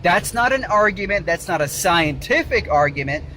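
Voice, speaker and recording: A man with a high, bright voice lectures to camera in an argumentative monologue. His delivery is lively and emphatic, circling back on his points. Faint vehicle noise sits behind him inside the car. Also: moderate pace. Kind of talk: argumentative solo video monologue.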